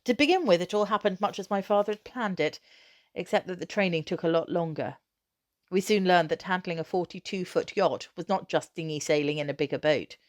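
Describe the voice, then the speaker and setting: A woman reading a children's novel aloud, narrating expressively with measured storytelling emphasis. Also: on the low side.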